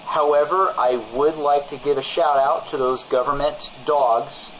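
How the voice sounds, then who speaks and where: A man's voice: fairly high pitch, steady tone, unhurried, fairly bright tone. Man playing a nervous, shady radio host, noisy background. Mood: flustered. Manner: stammering, repeating himself, defensive. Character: nervous, shady small-town radio host covering for criminal friends. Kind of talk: improvised-sounding comedy radio banter.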